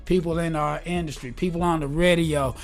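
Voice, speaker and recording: A man in his forties, talking casually and earnestly in an interview, upbeat and a bit preachy, and quick to laugh. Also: fairly high pitch, fairly even intonation, faint music behind. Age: 40s. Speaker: guest, gospel rap artist. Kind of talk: casual conversation.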